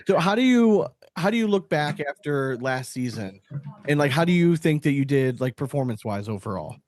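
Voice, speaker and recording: A man's voice: a little high, lively intonation, brisk, a little mellow, dry recording. A man hosting a casual podcast interview, asking questions and offering encouraging, affirming responses. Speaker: podcast host interviewing a guest.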